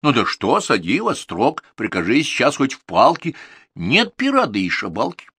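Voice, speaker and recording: A man's voice: medium pitch, huge pitch swings, normal speed, sharp tone, husky, no room sound, voice pushed up, full character voice. A man voicing a young peasant lad who pleads and protests before a tsar. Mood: defiant. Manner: pleading, whining.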